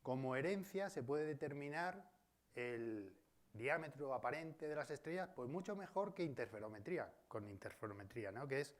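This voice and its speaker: A man's voice: medium pitch, animated, moderate pace. A man with a Spain Spanish accent giving an academic colloquium talk in a university auditorium, discursive and often adding tag questions. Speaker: guest speaker.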